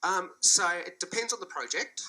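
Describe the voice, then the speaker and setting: Man, industry spokesman on a panel, bright edge, earnest and pushing. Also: high voice, lively intonation, normal speed, poor audio. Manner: earnest, urging, with fillers. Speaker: panelist, industry body head. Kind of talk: conference panel remarks. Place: conference hall panel.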